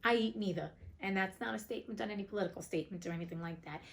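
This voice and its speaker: A woman's voice: mid-range voice, rapid-fire, gravelly. A woman reading a storybook aloud as host, breaking off for chatty, informal, joking commentary. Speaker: reader and host of a classics read-aloud show.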